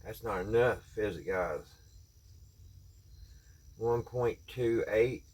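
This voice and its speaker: A man's voice: on the low side, takes it slowly, a little mellow. A man hosting a casual hands-on vlog, talking to viewers in a folksy way and thinking aloud as he works. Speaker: vlog host.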